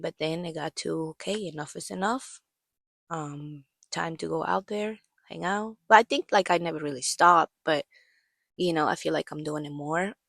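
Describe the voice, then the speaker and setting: A woman's voice: on the low side, vocal fry, dry recording, clean studio sound. A woman in her thirties telling a personal story as a podcast host, rambling and hesitant with many fillers, her voice worn from allergies and coughing.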